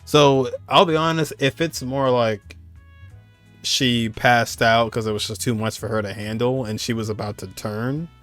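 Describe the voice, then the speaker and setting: A man's voice: mid-range voice, steady tone, unhurried, fairly bright tone. A man giving informal, reactive game commentary, thinking aloud in a casual way. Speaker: gaming commentator. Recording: faint game music behind. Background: game music.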